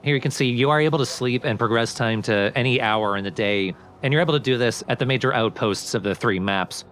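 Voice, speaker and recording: A man's voice: medium pitch, fairly quick, fairly bright tone, a little hiss or hum. A man hosting a let's play with casual, explanatory game commentary.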